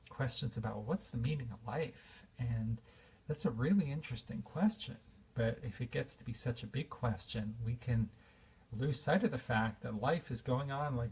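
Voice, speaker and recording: A man's voice: mid-range voice, gravelly, a little mellow, slightly rough, recorded from a distance. A man in his fifties giving an earnest, reflective spiritual talk, with fillers and restarts. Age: 50s. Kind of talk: informal spiritual talk to an audience.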